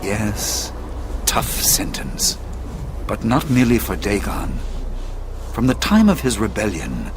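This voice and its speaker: An actor playing a wise, solemn storyteller in an audio drama, with a theatrical, deliberate delivery. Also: male voice, lowish voice. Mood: grave, dramatic. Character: wise, solemn storyteller recounting a fallen kingdom's tale. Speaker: narrator.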